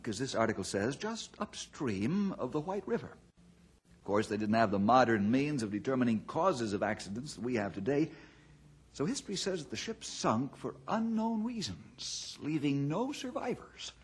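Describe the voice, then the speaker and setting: Husky male ranger, fatherly, thoughtful, lively pitch swings, folksy radio drama. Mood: explaining. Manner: folksy, reflective, reassuring. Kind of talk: old-time radio drama acting.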